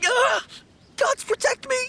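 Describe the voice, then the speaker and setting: A man's voice: very high voice, fairly even intonation, takes it slowly, gravelly, pushed and bright, heavily acted. A man playing a timid, formally polite young apprentice undertaker in a video game. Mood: panicked. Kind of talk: video game character acting. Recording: faint music behind.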